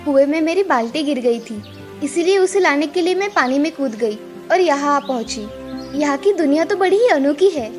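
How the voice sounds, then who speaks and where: Woman playing a high-voiced meek young girl. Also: animated, moderate pace, over music. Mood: curious. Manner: gentle, earnest, polite. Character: meek, kind young girl in a fairy tale. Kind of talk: acted children's story dialogue.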